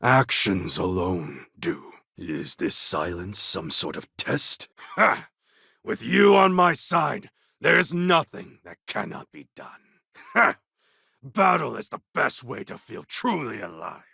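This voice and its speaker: A defiant warlord from a video game, speaks in a very raspy, gravelly voice with a forceful edge. The delivery is slow and grandiose, every formal phrase weighted like that of an impassioned leader. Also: male voice, on the low side, wildly swooping pitch, no room sound, studio quality, full character voice. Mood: exultant. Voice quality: gravelly, husky. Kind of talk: dramatic video game character acting.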